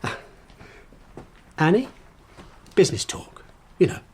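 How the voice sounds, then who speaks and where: An actor playing a desperate middle-aged uncle in an audio drama, blustering and wheedling behind a forced joviality. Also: male voice, slow, close to an everyday voice. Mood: jovial, bossy.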